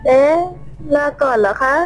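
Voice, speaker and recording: A woman's voice: normal speed, faint music behind, lightly acted. A woman voicing a sweet but insistent, easily hurt schoolgirl in a cartoon fan drama. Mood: surprised.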